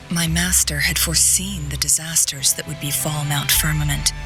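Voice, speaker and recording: A woman playing a composed, courteous strategist in a video game, speaking in a poised, measured, formal way with gentle teasing. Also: sits low, close to an everyday voice. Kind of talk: video game story dialogue.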